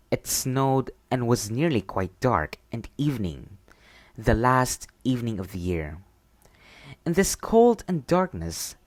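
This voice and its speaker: Guy doing soft intimate asmr bedtime stuff, lilting and expressive, really close dry sound, bit whispery. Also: mid-range voice, no room sound. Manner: soft, intimate, soothing delivery, partly whispered. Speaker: ASMR storyteller. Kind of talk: ASMR bedtime roleplay and storybook reading.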